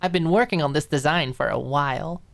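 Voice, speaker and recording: A woman voicing a bubbly, eager, affectionate young woman in an audiobook drama, reassuring and tripping over her words in excitement. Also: low voice, fairly quick, vocal fry.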